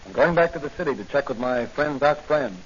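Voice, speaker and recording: A man's voice: medium pitch, lively intonation, a little fast, close to an everyday voice. Muffled old-time radio police hero, played by a man. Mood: thoughtful. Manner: earnest, decisive. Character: brisk, dutiful male police officer hero. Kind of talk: 1940s radio acting. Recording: dull, noisy 1940s radio recording.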